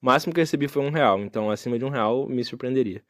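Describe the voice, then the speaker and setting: A man's voice: mid-range voice, very fast, a bit bright, dry recording, clean studio sound. A young man hosting a solo podcast, talking in a casual, reflective and rambling way with many fillers. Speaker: podcast host. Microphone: phone.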